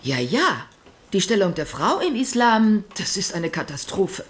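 A woman's voice: mid-range voice, very animated, unhurried. An actress playing a theatrical, opinionated, indignant upper-class lady who declaims in a German radio drama.

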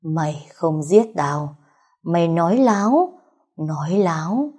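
A woman's voice: lowish voice, warm tone, husky. A woman narrator voicing a vengeful female ghost in an audio story, menacing and commanding, drawing out repeated threats. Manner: slow, drawn-out, repeated threats.

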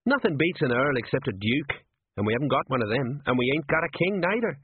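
A man's voice: mid-range voice, lively intonation, fairly quick, dry recording, voice pushed up. A man voicing an aging, worried watch sergeant with rambling, folksy working-class talk, on a dry, tinny recording. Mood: scheming. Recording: dry husky phone-like audio.

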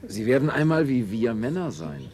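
A man playing a sober colony official in a German science fiction radio play, speaking in a deliberate, practical way. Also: medium pitch, very animated, a little mellow, soft background music, lightly acted. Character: sober, matter-of-fact male colony official among scientists.

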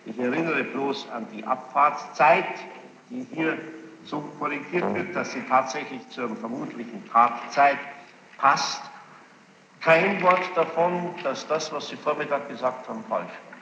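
A man questions a witness as presiding judge, formally and insistently, in deliberate phrasing. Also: medium pitch, bright, forceful tone. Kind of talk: courtroom questioning. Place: large reverberant courtroom with gallery. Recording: noisy, muffled 1960s courtroom tape, echoing hall.

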